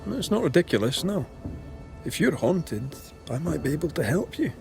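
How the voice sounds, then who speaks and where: An actor playing a blunt, steady ghost hunter in colonial America, wry and direct in old-fashioned phrasing. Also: male voice, on the high side, normal speed, gravelly, over music. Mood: firm, caring. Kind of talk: dramatic game dialogue.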